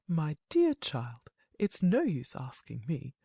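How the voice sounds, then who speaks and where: A woman's voice: low-pitched, wildly swooping pitch, slow, vocal fry, mellow, husky, dry recording, studio quality, voice pushed down, exaggerated voice. A woman voicing a gracious upper-class mother who speaks in a grand, affected way.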